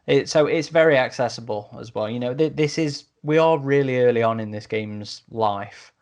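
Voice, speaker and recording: A man's voice: medium pitch, normal speed, darkish tone. A man hosting a podcast, chatting informally as he reviews and recommends things. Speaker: podcast host. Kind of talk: casual podcast review chat.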